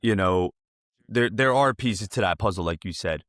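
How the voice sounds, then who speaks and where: A man's voice: sits low, moderate pace, fairly bright tone, no echo, clean studio sound. A man hosting a sports show, chatting casually as he interviews a guest.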